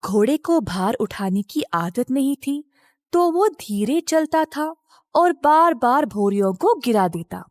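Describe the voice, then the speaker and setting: A woman's voice: high voice, big pitch swings, slow pace, no echo, studio quality. A woman narrating a scripted moral story for children as a storyteller.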